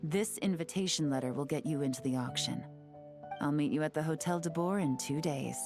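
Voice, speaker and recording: A woman playing a cool, confident and shrewd intelligence agent in a game, speaking in a composed, assured way. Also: low-pitched. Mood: confident, calculating. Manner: composed, assured, measured explaining. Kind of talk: game character dialogue. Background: faint music.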